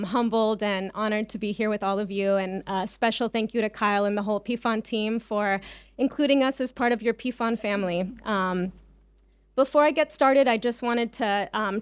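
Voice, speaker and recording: A woman's voice: medium pitch, smooth, no echo. A woman from Israel giving an informal conference presentation, speaking conversationally with frequent ums and personal asides. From Israel, early childhood on a kibbutz. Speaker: farmer cooperative leader, invited conference speaker.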